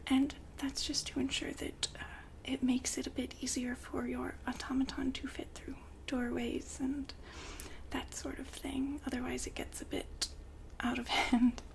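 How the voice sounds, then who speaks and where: Slow whispering woman, creaky ASMR voice. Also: sits high, little pitch movement, rough recording. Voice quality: breathy, whispered, unvoiced. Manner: gentle, attentive customer-service patter. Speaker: ASMR performer playing a robot shop consultant. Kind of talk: ASMR roleplay, soft whispering. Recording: faint background noise and ambience.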